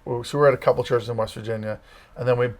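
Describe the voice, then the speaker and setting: A man's voice: medium pitch, fairly even intonation, very fast, a little mellow. Middle-aged man with a Massachusetts accent. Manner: rambling, storytelling, many fillers. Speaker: campus ministry leader, guest. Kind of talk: casual interview chat.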